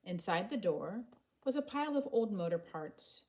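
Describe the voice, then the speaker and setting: A woman reading a children's story aloud in an expressive, animated way, acting out the dialogue. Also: mid-range voice, moderate pace. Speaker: storybook reader.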